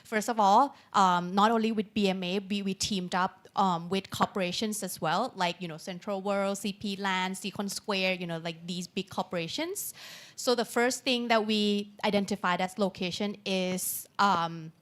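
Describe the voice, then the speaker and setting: A woman panel speaker giving an unscripted answer in English as a second language, pausing often to search for words. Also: medium pitch, steady tone, fairly bright tone. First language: not English.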